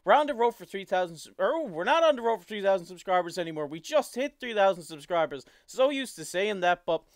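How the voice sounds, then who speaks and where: A man's voice: high voice, animated, fast, no echo, studio quality. A man from Newfoundland with a thick Newfoundland accent, hosting an enthusiastic hockey analysis show, with many fillers and restarts. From Newfoundland, Canada. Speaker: host.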